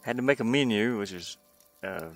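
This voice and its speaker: A man's voice: lowish voice, animated, moderate pace, soft background music. A man chatting casually on a livestream as he shows his own game in development, easygoing and rambling, often trailing off. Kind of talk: casual livestream chat while demoing a game.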